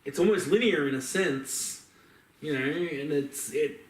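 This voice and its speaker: A man's voice: fairly high pitch, unhurried. An Australian man co-hosting a podcast, chatting casually with emphatic opinions. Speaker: podcast co-host. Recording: echoey room, distant from mic.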